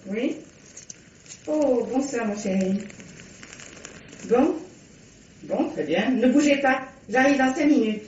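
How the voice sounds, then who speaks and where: High-voiced, arch lady novelist, old radio. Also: slow, long pauses, a little mellow, a little hiss or hum. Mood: brisk, breezy. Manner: formal, arch, theatrical diction. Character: self-assured, teasing lady novelist, sharp-tongued wife. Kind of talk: 1950s French radio drama acting. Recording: dull, muffled old radio recording.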